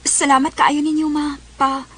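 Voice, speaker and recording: High-pitched, affectionate young Filipina, played by an actress. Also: female voice, flat delivery, unhurried. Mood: earnest. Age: young adult. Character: affectionate young woman, excitable girlfriend and daughter. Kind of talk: radio drama acting in Cebuano.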